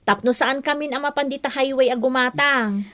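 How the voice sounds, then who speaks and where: A woman's voice: high-pitched, moderate pace, fairly bright tone. A woman with an Ilocano accent acting a talkative, frank elder sister in a radio drama. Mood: approving, frank.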